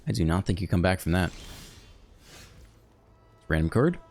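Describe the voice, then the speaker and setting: A man hosting a card game video, thinking aloud in a relaxed, chatty way as he plays. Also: low voice, normal speed, a little hiss or hum. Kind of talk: casual live gameplay commentary.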